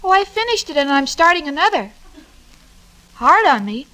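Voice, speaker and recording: Very high-voiced, scatterbrained young woman. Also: sing-song, normal speed, pushed and bright, heavily acted. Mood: chirpy, guileless. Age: young adult. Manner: naive, earnest, guileless. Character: innocent, scatterbrained young woman, sweet and literal-minded. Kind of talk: 1940s radio sitcom acting. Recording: noisy 1940s radio recording with clicks.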